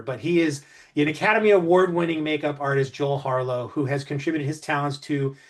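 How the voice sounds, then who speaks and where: A man's voice: a little high, a bit bright. A man hosting an interview in an enthusiastic, conversational style. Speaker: interview host.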